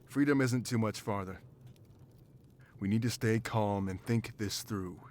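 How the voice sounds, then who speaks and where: An actor playing a protective, pragmatic bodyguard in a visual novel game, speaking in an earnest, measured, commanding way. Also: male voice, on the low side, a little mellow, slight background noise, natural voice. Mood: reassuring. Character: male protective bodyguard, firm, pragmatic, calm under danger.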